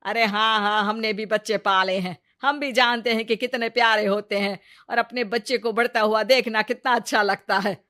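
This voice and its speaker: A woman plays a middle-aged mother-in-law from a family cartoon, forever complaining and self-pitying. The voice is on the high side, exclamatory and nagging. The sound is close and very dry. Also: steady tone, moderate pace, sharp tone, no room sound. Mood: grumbling. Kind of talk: Hindi moral-story cartoon voice acting.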